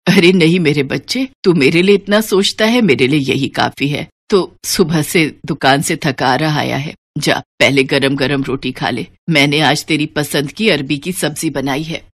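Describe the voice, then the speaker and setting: Lady doing a meek weary old granny from a cartoon story, gentle and plaintive. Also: low voice, normal speed, hardly any pauses, pitched below the performer's own voice. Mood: tender. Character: weary, meek, suffering old mother-in-law.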